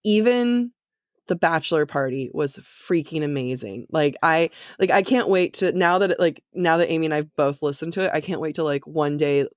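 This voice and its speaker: A woman co-hosting a podcast in a casual, chatty way, excitable and giggly, often acting out her own reactions with plenty of "like". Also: low voice, moderate pace, no echo, studio quality. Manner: informal, peppered with "like". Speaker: podcast co-host. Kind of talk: casual, chatty book discussion.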